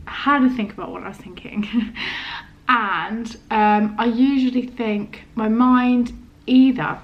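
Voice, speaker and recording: A young woman vlogger talks casually to camera. She has a stammer and speaks haltingly, often trailing off before she completes a thought. Her pitch sits in an ordinary range for a woman. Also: highs cut off.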